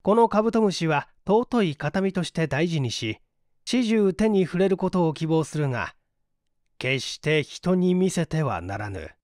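A man reading aloud a father's solemn, admonishing testament to his son, deliberately, in an audiobook mystery. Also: a little high, sing-song, darkish tone, no echo, studio quality. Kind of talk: audiobook letter read aloud.

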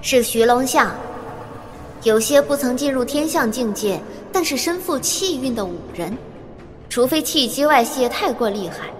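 High-voiced, composed court seer. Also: female voice, fairly bright tone. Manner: formal, measured, explanatory. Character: composed seer reporting omens to the empress. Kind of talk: Chinese audio drama acting. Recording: long reverb, music behind.

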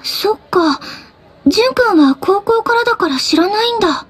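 A woman voicing a sweet, gentle teenage schoolgirl in an anime-style game, explaining things softly. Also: very high voice, lively intonation, normal speed. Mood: gentle, reassuring.

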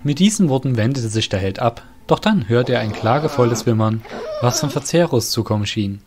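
A man's voice: mid-range voice, expressive, brisk, music in the background. A man narrating a scripted fantasy audio drama.

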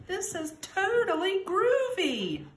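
Lady doing a small cheery storybook cat, high singsong, really swoopy, echoey room. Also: huge pitch swings, takes it slowly, slight background noise, full character voice. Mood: excited. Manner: exclaiming, singsong.

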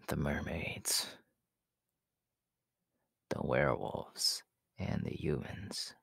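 A man voicing a menacing, haughty demon king in an ASMR audio roleplay, slow and imperious, in a gravelly, breathy half-whisper. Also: rumbling low, fairly bright tone, dry recording, studio quality, exaggerated voice. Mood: scornful scheming. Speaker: voice actor.

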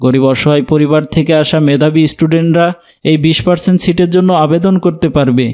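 A kindly educated office worker played by a man in a cartoon. His voice is a little high for a man, and he speaks with courteous, helpful manners. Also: fairly even intonation, fast, darkish tone, clear, resonant tone, natural voice. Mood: reassuring, helpful. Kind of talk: animated cartoon dialogue.

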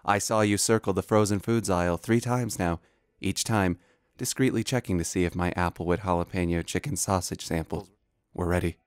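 A man's voice: deep voice, moderate pace, smooth, no room sound, studio quality, natural voice. A man playing a knowing supermarket sample vendor who speaks like a solemn messenger. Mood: knowing, insinuating. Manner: calm, insinuating, preacherly. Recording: music under the voice.

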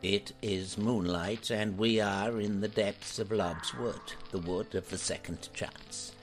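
A man acts as a solemn storyteller narrating a radio play. The voice is deep and unhurried, with measured, literary phrasing. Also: steady tone, soft background music.

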